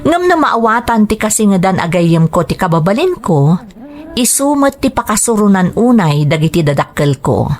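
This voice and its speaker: A woman's voice: mid-range voice, close to an everyday voice. A woman with an Ilocano Filipino accent playing a flirtatious office worker in a drama. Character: flirtatious playgirl office worker pursuing a married male colleague. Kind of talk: Ilocano radio-style drama acting.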